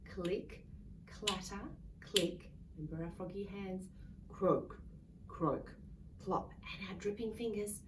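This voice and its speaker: A woman's voice: mid-range voice, steady tone, takes it slowly. A woman reading a picture book aloud to young children in a sing-song way, coaxing them to join in. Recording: distant mic with faint background noise.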